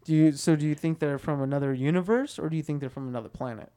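Easygoing man with a smooth voice. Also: on the high side, quick pace, mellow. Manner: easygoing, laughs often, hesitant. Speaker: podcast co-host. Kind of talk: casual chat.

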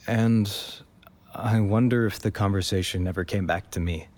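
A man as a low, hesitant, wistful narrator. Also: normal speed, a little mellow, close to an everyday voice. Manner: hesitant, musing. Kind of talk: reflective audio drama narration.

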